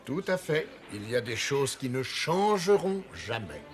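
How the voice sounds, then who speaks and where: A man voicing a gentle elephant king and father, narrating his story in a French cartoon. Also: medium pitch, big pitch swings, a little slow. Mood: fatherly. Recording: music behind the voice.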